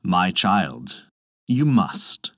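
A storyteller voicing a solemn, fatherly thunder god with grave, slow, old-fashioned storybook phrasing. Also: low voice, very animated, no room sound, studio quality, voice pushed down, heavily acted. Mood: grave, commanding. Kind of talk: storybook reading.

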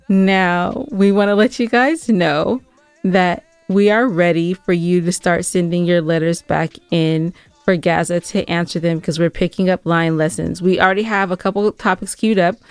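Clear-voiced woman podcast co-host. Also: fairly low pitch, dry recording. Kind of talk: casual banter and listener announcements.

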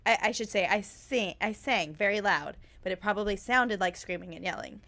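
A woman with a hoarse, raspy voice that is still on the mend, co-hosting a casual true crime chat. She talks in a chatty, animated way as she relays a story. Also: medium pitch, normal speed. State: hoarse, recovering voice. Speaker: podcast co-host.

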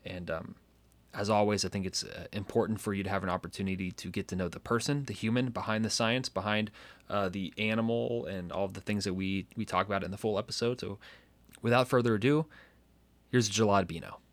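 A man hosting a podcast, introducing his guest in a relaxed way and giving short affirming replies. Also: on the low side, a little fast, dry recording. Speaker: podcast host. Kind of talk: casual podcast introduction and interview.

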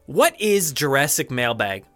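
A man's voice: mid-range voice, very animated, a little slow, a bit bright, faint music behind. A man with a New Jersey accent hosting a podcast in a casual, rambling, jokey and self-deprecating way. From New Jersey, USA. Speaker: podcast host.

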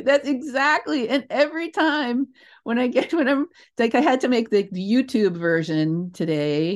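An older woman telling stories as an interview guest in a casual, animated, emphatic way, often interrupting herself and digressing. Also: medium pitch. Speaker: interview guest, theatre creator.

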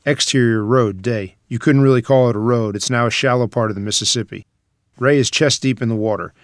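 A man's voice: medium pitch, brisk, dry recording. Man narrating screenplay directions, dry sound. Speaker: narrator reading stage directions.

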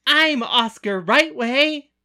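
A woman's voice: high voice, animated, slow pace, pushed and bright, exaggerated voice. A woman voicing an eager young girl who plays a boy newspaper seller in a stage play, proclaiming her lines. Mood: proud.

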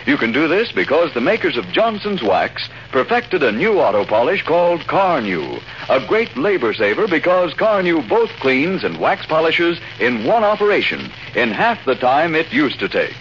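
A man's voice: a little high, very animated, moderate pace, hardly any pauses, a bit bright. An American man reading a scripted 1940s radio advertisement as an announcer. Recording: muffled 1940 radio recording, faint noise.

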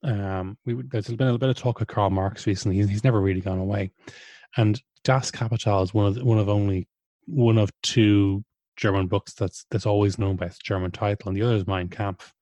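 A man's voice: on the low side, fairly even intonation, brisk, warm tone, dry recording. A man who speaks Irish, talking things through in a casual conversation, hesitant and full of restarts. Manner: thoughtful, hesitant, many restarts and fillers.

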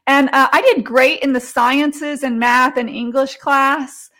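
A woman's voice: high-pitched, unhurried, fairly bright tone. A middle-aged woman chatting casually as an interview guest, telling personal stories. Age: 40s or 50s.

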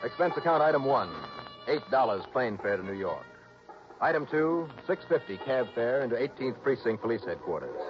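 An actor playing a cocky, self-assured freelance insurance investigator, acting and narrating in an old-time radio drama. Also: male voice, medium pitch, sing-song, moderate pace, music in the background, close to an everyday voice. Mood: wry narration. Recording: dull, noisy old radio recording.

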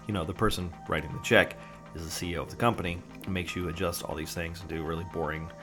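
A man's voice: low-pitched, fairly even intonation, fast talker, over music. Sarcastic rambling male podcaster. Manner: joking, sarcastic, tangent-prone, crude humor; chewing while talking. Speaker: podcast host. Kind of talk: rambling solo podcast monologue.

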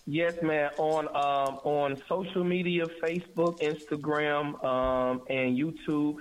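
A man in his forties from South Carolina talks as a guest on a show. His voice sits fairly high, and he is relaxed and repetitive, tossing in "man" constantly. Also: slow, clean tone. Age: 40s. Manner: laid-back, repeats himself, says "man" a lot. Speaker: guest musician. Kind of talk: casual interview chat.

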